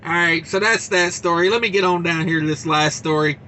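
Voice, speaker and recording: A man with a high, bright voice hosts a casual trucking show. He reads news aloud and breaks in with folksy wisecracks and asides, loose and chatty throughout. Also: brisk, slight background noise. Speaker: trucker channel host.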